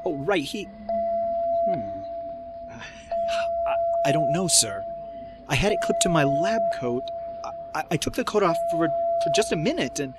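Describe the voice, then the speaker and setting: A man's voice: fairly high pitch, lively intonation, heavy background noise. A man playing a stammering, flustered young doctor. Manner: stammering, halting. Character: flustered young male doctor, nervous and apologetic.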